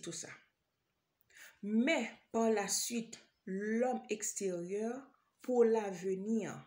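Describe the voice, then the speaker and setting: A woman's voice: medium pitch, a little mellow. A woman from Haiti with a Haitian accent, preaching an informal sermon straight to the listener, hesitant, full of fillers and repeated phrases. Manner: addresses listener directly.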